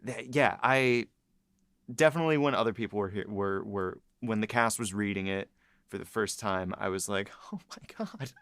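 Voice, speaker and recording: A writer talking casually on a podcast, excitable and often restarting sentences mid-thought. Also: medium pitch, normal speed, no room sound, clean studio sound. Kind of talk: casual chat.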